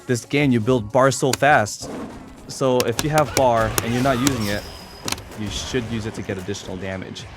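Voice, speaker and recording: A man giving an unscripted fighting game tutorial in a casual, explanatory and somewhat hesitant way. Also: medium pitch. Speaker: competitive gamer demonstrating a character.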